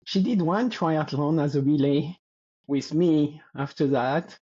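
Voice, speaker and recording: A French-accented man of about sixty with a slightly high yet dark, mellow voice. He chats as an interview guest, hesitating and hunting for words. The recording sounds a little dull and lo-fi. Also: breathy edge. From France. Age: around 60. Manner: halting, searching for words, laughing lightly. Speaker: guest athlete. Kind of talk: casual interview talk.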